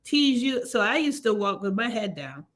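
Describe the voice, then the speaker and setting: A woman's voice: mid-range voice, sing-song, normal speed. Woman, podcast host, mid-range voice, frank conversational tone. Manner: frank, conversational, agreeing and sharing. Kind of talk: casual chat.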